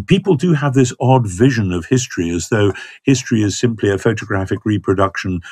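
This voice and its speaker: A man's voice: fairly low pitch, animated, a little fast, a little mellow, breathy edge, no room sound, clean studio sound. A man talking about scripture in a thoughtful, hesitant way, with many fillers and restarts. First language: not Hebrew. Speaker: biblical scholar guest. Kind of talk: thoughtful conversational interview.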